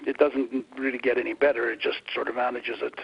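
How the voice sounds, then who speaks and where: An Italian-born man calls in with hesitant, stammering questions. His voice is gravelly and husky with a flat delivery. The line sounds dull and lo-fi. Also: medium pitch, a little fast. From Italy. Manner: hesitant, many fillers and stammers. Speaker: radio caller. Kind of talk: casual phone-in questions.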